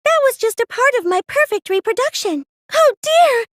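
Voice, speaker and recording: A woman's voice: very high-pitched, expressive, moderate pace, dry recording, studio quality. A woman voicing a gleeful, gloating young female cosplayer mastermind in an anime video game, theatrical and taunting. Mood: mock-sweet explaining.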